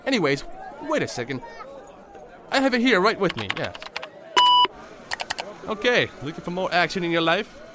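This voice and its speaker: A man's voice: on the high side, noisy background, lightly acted. A man playing a pompous, vain, slightly dim young hero on a quest in a comic audio drama. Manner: pompous, self-important.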